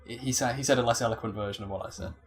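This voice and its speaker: A man's voice: mid-range voice, fairly even intonation, rapid-fire. A man giving casual, joking commentary as a let's play commentator.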